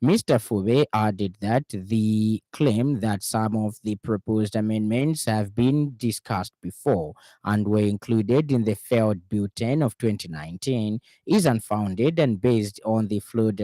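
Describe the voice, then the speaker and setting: A man with a Zambian accent anchors a radio news bulletin. His voice is low and dark, with a relaxed tone and very little room sound. He reads the script formally, in measured announcer phrasing. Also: no echo.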